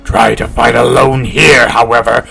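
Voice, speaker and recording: An actor playing a proud, scornful alien cat warrior in an audio drama, speaking formally and with command. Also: male voice, mid-range voice, little pitch movement, measured pace, gravelly, sharp tone, breathy edge, full character voice. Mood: stern, menacing. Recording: faint music behind.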